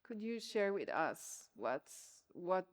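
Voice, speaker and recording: A woman's voice: on the low side, big pitch swings, takes it slowly. A woman acting as curator and interviewer at a public talk, carefully searching for her words. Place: museum exhibition space.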